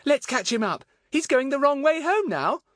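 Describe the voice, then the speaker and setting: A man's voice: extremely high pitch, big pitch swings, moderate pace, a bit bright, dry recording, clean studio sound, voice pushed up, full character voice. A man voicing a sweet, anxious little girl with a tender, plaintive manner in a storybook. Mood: giggly, excited.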